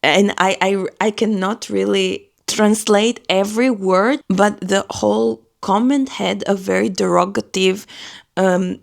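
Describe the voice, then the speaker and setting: A woman's voice: medium pitch, steady tone, unhurried, vocal fry. A woman from Albania, a native Albanian speaker, talking earnestly in a personal vlog and searching for her words. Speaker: vlogger. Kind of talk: personal reflective monologue.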